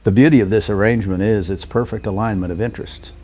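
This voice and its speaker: Fast-talking, low-voiced corporate villain. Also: male voice, steady tone, a little mellow, breathy edge. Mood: smug, businesslike. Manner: formal, businesslike corporate phrasing. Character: smooth, calculating male corporate executive villain. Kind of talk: audio drama dialogue.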